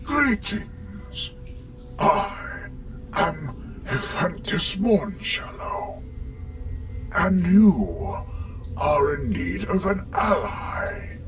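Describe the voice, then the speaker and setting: Evil sorcerer, high swooping pitch, theatrical, gloating menace, drawn-out words, fantasy roleplay. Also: male voice, lively intonation, faint music behind, heavily acted. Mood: menacing, grandiose. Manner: theatrical, drawn-out delivery sinking into whispers. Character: menacing, gloating sorcerous villain bent on destruction.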